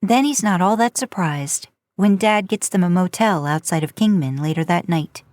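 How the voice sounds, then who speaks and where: A woman's voice: lowish voice, animated, moderate pace, darkish tone, no room sound. A woman reading a story aloud in an informal, conversational audiobook style. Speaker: podcast host narrating fan fiction.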